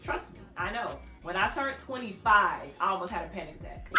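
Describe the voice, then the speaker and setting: A woman in her thirties hosting her own channel, giving casual advice to the camera in a chatty, playful way and laughing often. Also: medium pitch. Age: 30s. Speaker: vlogger, channel host. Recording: echoey room with faint music.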